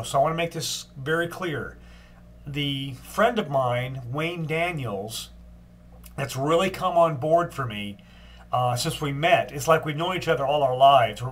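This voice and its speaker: Older man, congested from a cold, fairly high voice; plain unhurried diary-style update. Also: fairly bright tone. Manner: plain, unhurried talk with frequent ums and restarts. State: stuffed up from a cold. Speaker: long-distance walker giving a daily update. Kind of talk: casual video diary.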